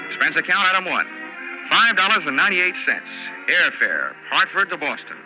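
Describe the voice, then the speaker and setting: An actor playing a wry, wisecracking insurance investigator, dryly sardonic yet gallant, in 1940s radio detective style. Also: male voice, on the high side, sing-song, normal speed, over music, rough recording. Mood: sardonic, brisk. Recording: vintage 1940s radio recording.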